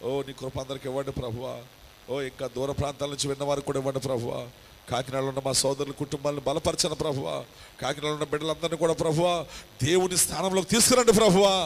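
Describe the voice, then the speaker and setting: A man leading a fervent congregational prayer as pastor, ending each line with an address to God. His voice surges loud and high at the peaks, then drops to a pleading murmur. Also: creaky, slight background noise. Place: church service streamed live.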